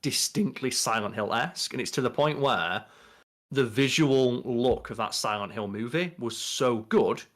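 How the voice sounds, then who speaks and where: A man's voice: medium pitch, measured pace. A young man, a podcast co-host, chatting casually and explaining in a rambling way from a bedroom on a video call. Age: young adult. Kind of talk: casual movie chat.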